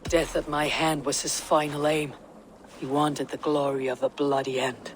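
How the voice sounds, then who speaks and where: A man playing a stoic, battle-hardened Viking warrior in a video game, speaking in a measured, solemn way. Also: on the high side, flat delivery, a little hiss or hum, lightly acted. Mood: grave. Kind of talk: dramatic video game acting.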